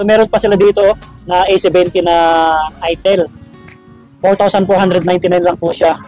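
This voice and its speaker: A man's voice: sits high, darkish tone, low-quality recording. A middle-aged man, narrating a casual shopping vlog in an upbeat, chatty way to his viewers. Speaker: vlogger, host. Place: busy public market and gadget stalls.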